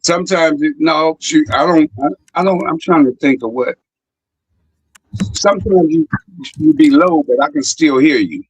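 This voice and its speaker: Host, male, slightly high voice, rambling delivery, dry sound. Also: slightly rough, no echo, dull audio. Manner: rambling, halting, many fillers. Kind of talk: casual chat on a live stream.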